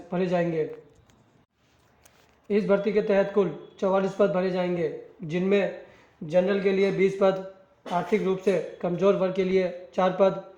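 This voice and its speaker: A male presenter reads scripted job information in a high, flat voice. He speaks straight to his listeners as he goes through the details. The sound is dull and lo-fi, with clear room echo. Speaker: presenter of job news videos.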